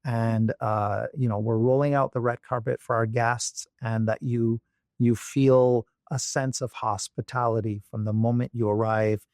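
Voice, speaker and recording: A man's voice: mid-range voice, little pitch movement, measured pace, darkish tone, dry recording, clean studio sound. A man from Barbados, a company executive, speaking as an interview guest in a thoughtful way with many fillers and restarts. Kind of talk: interview conversation.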